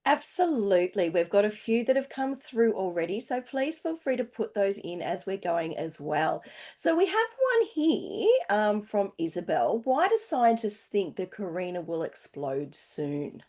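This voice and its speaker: A woman hosts a live online lesson in a mid-pitched voice with very animated intonation. She reads out questions and responds with enthusiasm and encouragement. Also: a little slow. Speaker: host reading out audience questions. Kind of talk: live online educational hosting.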